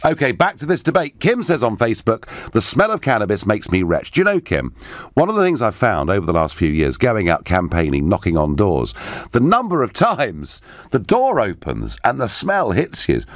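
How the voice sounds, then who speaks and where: A man's voice: fairly low pitch, huge pitch swings, moderate pace, dry recording. A man, hosting a live radio phone-in from a studio and reading out listener messages with emphatic delivery. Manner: emphatic, swooping delivery, interjects with questions. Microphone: studio microphone with headphones.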